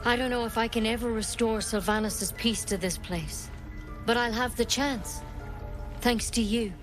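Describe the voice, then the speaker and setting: An earnest, grateful female druid played by an actress in a cinematic fantasy game. Her voice sits fairly high and sounds sincere and hesitant. Music is clearly audible underneath. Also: steady tone, moderate pace, close to an everyday voice. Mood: apologetic, hopeful.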